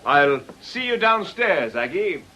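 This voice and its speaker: A man's voice: a little high, big pitch swings, takes it slowly, bright, forceful tone, a little hiss or hum, exaggerated voice. An actor playing a sly, blustering man from a shady club, cajoling and mocking in colloquial radio drama speech. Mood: mock-genial.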